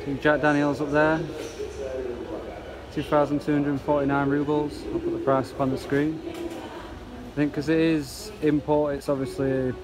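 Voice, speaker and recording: Chatty man, flat easygoing delivery. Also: fairly high pitch, measured pace, pauses often, a crowd in the background. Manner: relaxed, chatty, pointing out prices. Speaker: vlogger touring a supermarket. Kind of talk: casual walk-through narration. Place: large supermarket indoors, off camera. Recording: loud store air-conditioning hum behind.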